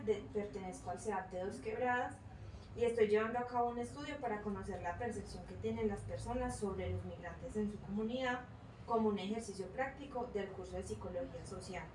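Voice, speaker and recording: A woman's voice: mid-range voice, brisk. A woman, a psychology student moderating a focus group and reading a consent form, hesitant with fillers and self-corrections. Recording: echoey room, far mic, noisy.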